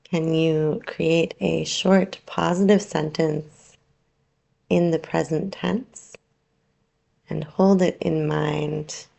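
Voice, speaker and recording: A woman teaching yoga nidra, guiding a relaxation meditation in a soothing, unhurried, gently instructing way with long pauses. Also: low voice, fairly even intonation, gravelly.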